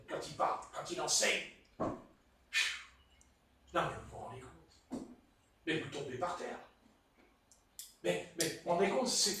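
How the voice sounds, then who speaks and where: A man with a Marseille French accent preaching a sermon in a church hall, telling stories in a playful, animated way. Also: high voice. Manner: animated, playful storytelling with chuckles. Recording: echoey hall, mic far off.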